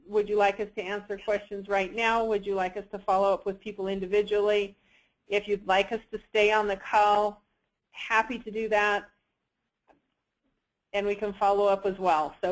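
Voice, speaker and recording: A woman giving a conversational sales pitch as a presenter on a conference call. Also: low-quality recording.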